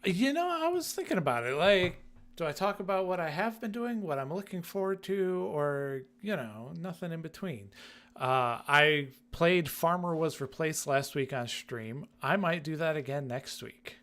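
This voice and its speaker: A man's voice: a little high, lively intonation, normal speed, a bit bright. A man co-hosting a gaming podcast, explaining things casually. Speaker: podcast co-host.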